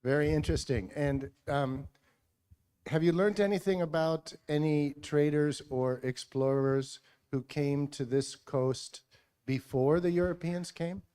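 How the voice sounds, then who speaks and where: A man hosting a school assembly, asking students brisk, encouraging follow-up questions on a handheld microphone. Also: on the high side, dark tone, smooth. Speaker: school assembly host and teacher.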